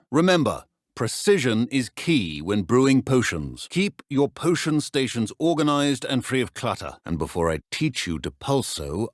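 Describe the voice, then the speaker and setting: An actor playing a stern, guarded potions professor in a video game, speaking formally and dryly. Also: male voice, medium pitch, no echo, close to an everyday voice. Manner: formal, dry, measured. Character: stern, guarded male potions professor and battle-worn wizard. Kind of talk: scripted video game character dialogue.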